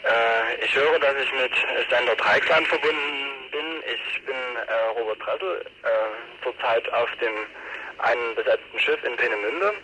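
A male protester making a halting statement over a radio telephone, with many hesitations. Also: mid-range voice. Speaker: protester, interviewee. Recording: noisy radio-telephone link.

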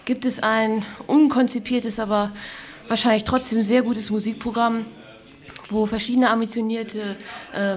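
Female radio presenter, slightly high voice, informal, playful, lightly ironic. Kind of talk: informal live announcement. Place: radio studio.

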